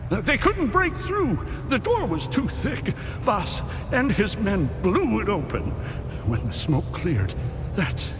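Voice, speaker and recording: An actor playing a shaken, devout old abbot in a video game, speaking in a fervent, halting way. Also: male voice, sits high. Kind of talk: dramatic video game acting. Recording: long reverberant hall, music behind.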